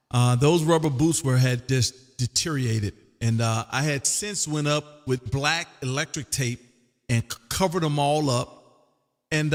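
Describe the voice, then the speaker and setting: A man from the United States hosting casual ham radio chat, loud, exuberant and folksy, often repeating himself, recorded in a room with long reverb. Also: on the high side. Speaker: amateur radio operator hosting a live stream.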